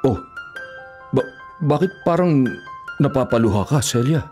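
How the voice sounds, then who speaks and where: A man's voice: mid-range voice, animated, measured pace, mellow, soft background music, natural voice. A man acting in a Filipino radio drama as a man of the household, emphatic and melodramatic, with a Filipino accent. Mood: doting. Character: men of a family: devoted husband, brash stepson.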